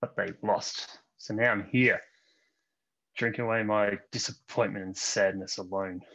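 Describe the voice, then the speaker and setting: Husky podcast co-host. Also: male voice, lowish voice, steady tone, measured pace, fairly bright tone, lo-fi sound. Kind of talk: podcast chat and table read.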